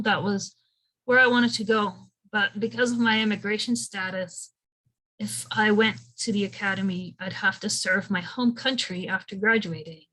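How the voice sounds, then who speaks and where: A woman's voice: medium pitch, a bit bright, poor audio. A woman in her thirties, from Belgium, giving a conversational career talk over a video call, with frequent ums. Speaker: invited speaker.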